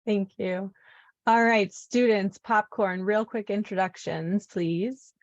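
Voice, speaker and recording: A woman moderating a student presentation, briskly and brightly passing the floor from speaker to speaker. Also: mid-range voice, dry recording, dull audio. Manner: brisk, upbeat, hands the floor around.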